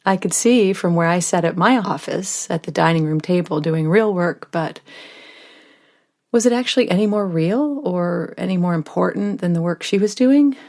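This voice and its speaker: An American woman playing a stressed, devoted mother of a small daughter in a first-person audio drama, speaking in a confiding, expressive way that moves between tenderness and exasperation. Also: lowish voice, lightly acted. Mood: reflective, wry.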